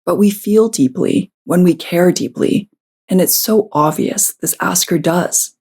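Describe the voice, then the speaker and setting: A woman hosting a podcast in an earnest, gentle way, her voice a little hoarse from a cold. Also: on the low side, a little slow. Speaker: podcast host. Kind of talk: podcast conversation and scripted intro.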